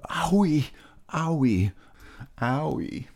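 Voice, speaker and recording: A man's voice: fairly high pitch, expressive, extremely slow, darkish tone, pitched above the performer's own voice, exaggerated voice. A man voicing a reckless young male pig who whines and pleads in a raised voice. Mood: whiny. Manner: whiny, pleading.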